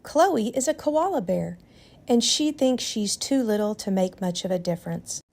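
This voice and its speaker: A woman hosting a storytime, reading aloud to children in a deliberate, expressive way. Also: mid-range voice, creaky. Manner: deliberate, animated.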